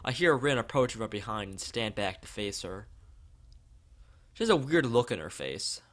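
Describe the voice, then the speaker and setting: A man's voice: fairly low pitch, lively intonation, normal speed, fairly bright tone. A man from the United States, a let's play streamer, reading a visual novel aloud.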